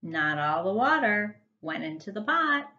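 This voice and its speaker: Female primary teacher for a children's read-aloud: unhurried, very expressive, emphatic, slightly lo-fi sound. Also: mid-range voice. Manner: animated, emphatic storytelling. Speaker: first grade teacher reading to pupils.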